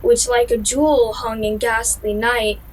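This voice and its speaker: A woman's voice: on the high side, flat delivery, measured pace, slight background noise, lightly acted, low-quality recording. A young woman performing a verse monologue as a weary, sleepless lover. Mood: weary, longing. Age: young adult. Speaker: student drama performer. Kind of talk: dramatic monologue reading of verse.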